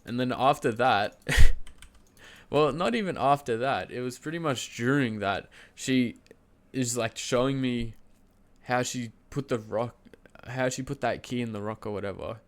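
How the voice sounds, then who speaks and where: A man's voice: medium pitch, a little slow. A young man with a cold and a stuffy, nasal voice, casually rambling through a story to his live stream chat, full of fillers and restarts, standing and moving around as he talks. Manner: loose and chatty, lots of fillers and restarts, laughs mid-sentence. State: sick with a cold, congested and nasal. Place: home streaming setup.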